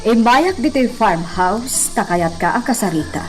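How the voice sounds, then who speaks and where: A woman's voice: fairly high pitch, lively intonation, a little slow, music in the background, poor audio. A woman with an Ilocano accent playing a determined lady taking charge of a hacienda in a radio drama.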